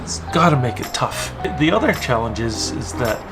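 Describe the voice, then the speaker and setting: A man from Canada with a Newfoundland accent, answering interview questions in a measured way, searching for words. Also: mid-range voice, very animated. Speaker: interviewee, volunteer. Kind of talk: casual interview. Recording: loud music bed behind voice.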